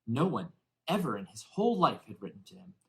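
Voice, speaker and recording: A man reading a children's novel aloud in expressive, deliberately phrased storybook narration. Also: medium pitch, gravelly. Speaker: audiobook narrator.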